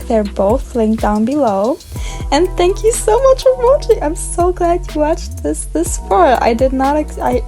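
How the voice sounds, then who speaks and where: High-voiced young woman with German accent. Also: mellow. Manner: bubbly, rambling, giggly. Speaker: art vlogger. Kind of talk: casual voice-over narration.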